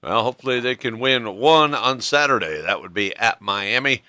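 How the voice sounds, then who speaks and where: A man's voice: mid-range voice, animated, normal speed, pushed and bright, clean tone, no room sound, studio quality. A man hosting a sports radio show, talking conversationally and putting questions to his guests. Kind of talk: conversational sports interview and commentary.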